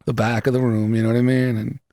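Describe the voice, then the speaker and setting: Brief: New man, 30s, gravelly and dry, loose profane podcast co-host. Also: medium pitch, level tone, fast, warm tone, dry recording. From New York City. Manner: loose, profane, full of fillers and asides. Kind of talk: casual chat. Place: small studio room, indoors. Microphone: studio mic close up.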